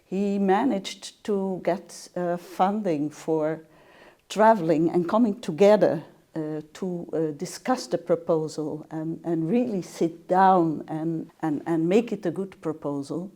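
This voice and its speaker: A woman recalling a project's start in a documentary interview, unhurried and halting with fillers. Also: on the low side, dark tone.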